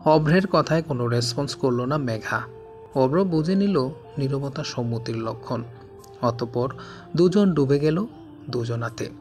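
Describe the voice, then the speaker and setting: Male narrator for romantic fiction, medium pitch, light music underneath. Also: lively intonation, a little slow, pauses often, a little mellow. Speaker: story narrator. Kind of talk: scripted narration of a romantic story. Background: faint background music.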